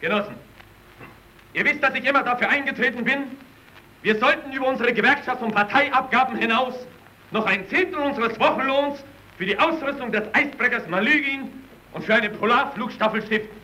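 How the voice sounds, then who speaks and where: Impassioned worker comrade, very high bright voice, declamatory radio-drama oratory. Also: male voice, brisk, heavily acted, poor audio. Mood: earnest. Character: fiery Soviet worker arguing at a party meeting. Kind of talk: vintage German radio play acting.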